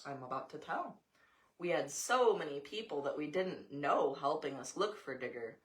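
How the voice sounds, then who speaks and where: A woman's voice: deep voice, animated, moderate pace. An American woman reading a story aloud, with casual asides along the way. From Montana, USA.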